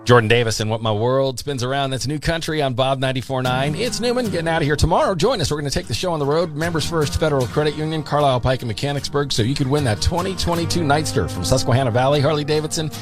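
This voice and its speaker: A man's voice: fairly high pitch, fast talker, hardly any pauses. An American man presenting on country music radio in a chatty, casual, promotional style into a studio microphone. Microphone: studio broadcast microphone. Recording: radio aircheck with music behind.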